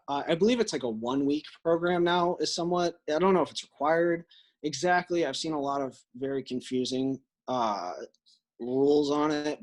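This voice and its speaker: A man with an American accent giving earnest, explanatory answers as a podcast guest in a conversational interview. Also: mid-range voice. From Illinois, United States.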